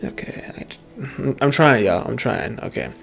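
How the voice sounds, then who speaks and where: A game streamer giving casual, halting let's-play commentary and reading dialogue aloud. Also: medium pitch, lively intonation, creaky, faint music behind. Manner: halting, hesitant.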